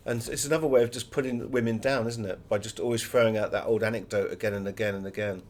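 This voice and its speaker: A man's voice: on the low side, steady tone, fast, a little mellow. A British man speaking as an interviewed author, telling anecdotes in a wry, chatty and emphatic way.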